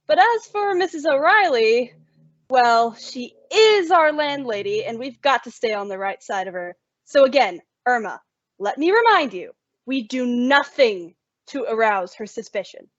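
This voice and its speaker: Woman playing a high-voiced sensible young woman. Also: animated, unhurried, no echo. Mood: practical. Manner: sweetly diplomatic, wry. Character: sensible young working girl, exasperated peacemaker. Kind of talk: old-time radio comedy acting. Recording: dull lo-fi recording.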